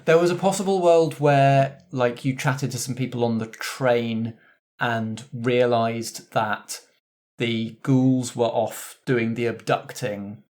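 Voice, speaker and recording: A British man speaks as a game master looking back on a session with friends. His pitch sits in the middle, and he explains things in long, looping thoughts. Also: fairly even intonation, slow, a little mellow. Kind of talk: casual cast discussion of a tabletop game.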